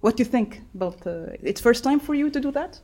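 A woman's voice: a little high, expressive, quick pace, a crowd in the background. A woman podcast host interviewing children in a casual, encouraging way with simple, hesitant phrasing.

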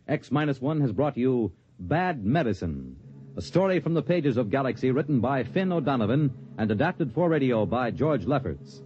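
Lively American male radio announcer, vintage sound. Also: medium pitch. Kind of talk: 1950s radio announcing, scripted. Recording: dull, dry old radio recording.

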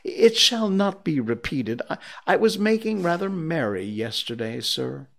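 A man's voice: medium pitch, sing-song, takes it slowly, heavily acted. A man voicing a timid, apologetic clerk in a storybook, hesitant and stammering as he pleads. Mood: pleading.

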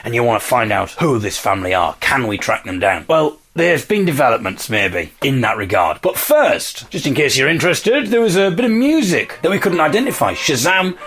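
A man with a slightly husky voice hosting a podcast, telling a story conversationally with enthusiastic, emphatic delivery and dramatic repetition. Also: mid-range voice, sing-song, normal speed, hardly any pauses, fairly bright tone. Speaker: podcast host.

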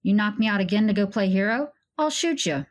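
A woman's voice: medium pitch, expressive, brisk, natural voice. A woman voicing a tough, blunt female mercenary who speaks in dry, clipped threats, in an audio drama.

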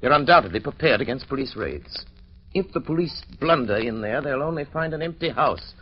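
An American man playing a resolute gentleman crime-fighter in an old radio drama, speaking with urgent authority. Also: medium pitch, lively intonation, brisk, husky. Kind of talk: 1940s radio drama acting. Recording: muffled, hissy old radio recording.

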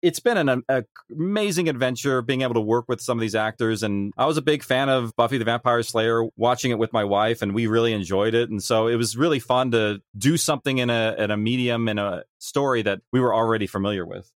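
A man speaks as an interview guest in casual, reflective style. His voice sits at an ordinary male pitch, and the sound is close and dry with very little room around it. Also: brisk, hardly any pauses, dry recording. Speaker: interview guest, audio drama creator.

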